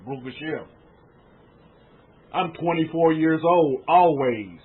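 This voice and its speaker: Preacher, male, high and husky, repetitive exhorting sermon delivery, tinny phone-line sound. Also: slow pace. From United States. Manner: exhorting, repetitive, addresses listeners as family.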